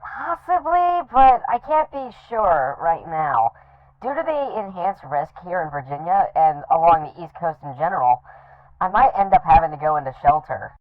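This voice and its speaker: A woman playing an earnest storm reporter giving deliberate safety warnings in a news interview over the phone. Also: fairly low pitch, sing-song, no echo. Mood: earnest, cautioning. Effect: phone line filter. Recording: tinny, dry phone line.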